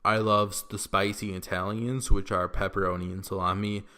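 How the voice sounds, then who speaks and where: A young man with a Midwestern accent answering questions in a relaxed, rambling vlog, with lots of ums and likes, in an echoey room. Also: low voice, moderate pace. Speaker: YouTuber. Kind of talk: casual Q&A vlog.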